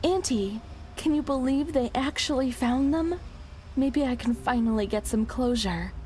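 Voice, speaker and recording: An actress playing an earnest, grieving young niece in a video game cutscene. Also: female voice, high voice, unhurried, a little mellow, a little hiss or hum. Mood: anxious, hopeful.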